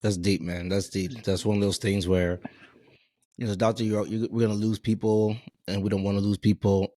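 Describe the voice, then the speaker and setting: A man in his forties, a physician hosting a casual interview, who talks in a relaxed, chatty way and often repeats his words. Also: lowish voice, brisk, no room sound, studio quality. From Houston area, Texas. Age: 40s. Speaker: podcast host, physician.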